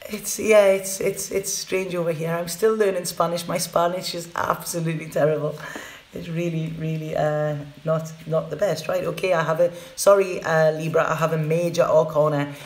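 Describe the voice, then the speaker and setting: A woman's voice: sits low, flat delivery, moderate pace, a little mellow. A woman reads tarot cards live for her viewers in a loose, chatty way. She repeats words and keeps checking in with "okay?". Her voice rings in a roomy, echoey space. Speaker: tarot reader. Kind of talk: live tarot reading, casual chat to viewers.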